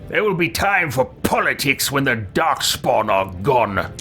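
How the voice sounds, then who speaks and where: A man's voice: mid-range voice, sing-song, a little slow, bright, forceful tone, breathy edge, soft background music, full character voice. A man voicing a haughty, blunt, impatient wizard in a pompous, declamatory way for a game.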